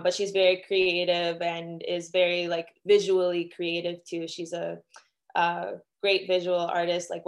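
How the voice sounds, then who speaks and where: A young woman from Miami, a singer-songwriter, chatting casually as a guest with lots of "um" and "you know". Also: fairly low pitch. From Miami, Florida. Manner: chatty, many fillers and restarts. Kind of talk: casual interview answers.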